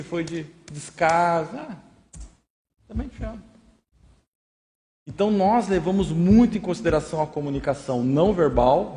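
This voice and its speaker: High-pitched Brazilian man in a reverberant hall. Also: warm tone. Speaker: speaker leading a group discussion. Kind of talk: informal lecture and discussion.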